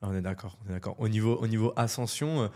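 A man hosts an in-person podcast, his voice a little low. He asks questions casually and loosely, often restarting his sentences. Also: rapid-fire. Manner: relaxed, chatty, stumbling restarts. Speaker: podcast host. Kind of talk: casual interview hosting.

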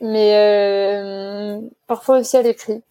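A woman's voice: medium pitch, fairly even intonation, slow pace, dark tone. A woman in her twenties answering as a guest in a casual interview, chatty and explanatory, given to easy laughter. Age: 20s.

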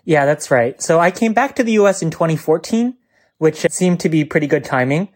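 A man's voice: fairly high pitch, animated, brisk. A man, a venture fund co-founder, speaking confidently and articulately as a podcast guest. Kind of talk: podcast interview.

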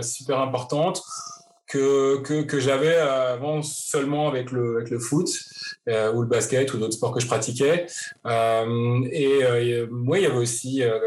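A man in his forties from Paris, telling his story as a podcast guest with frequent hesitations and restarts. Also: mid-range voice. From Paris, France. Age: 40s. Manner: hesitant, many fillers and restarts. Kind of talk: interview, personal story.

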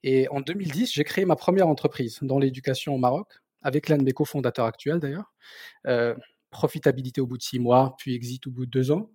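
A man's voice: medium pitch, fast, darkish tone, breathy edge, no echo, studio quality. A man in his thirties from southern Morocco, a native Berber speaker, telling his story hesitantly as an interview guest. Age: 30s. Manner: hesitant, repeating words as he searches for phrasing. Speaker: podcast guest, company founder. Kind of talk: casual autobiographical interview.

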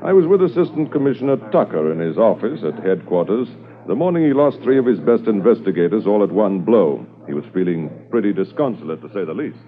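An actor playing a calm mounted police inspector in a 1950s radio drama, steady and matter-of-fact as he reminisces. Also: on the low side, fast talker. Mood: storytelling. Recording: muffled 1950s radio recording.

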